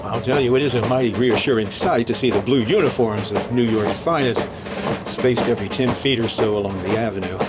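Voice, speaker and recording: An actor playing a radio announcer reporting live on a parade in 1950s radio drama style, dramatic and hesitant. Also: male voice, medium pitch, fairly quick, loud music behind the voice, close to an everyday voice. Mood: uneasy.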